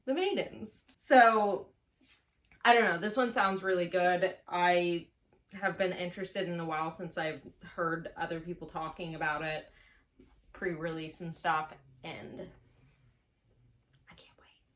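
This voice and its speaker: A woman chatting casually to camera as a book reviewer, playful and self-mocking, stretching words for dramatic emphasis. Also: on the low side. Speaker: book reviewer on a video channel.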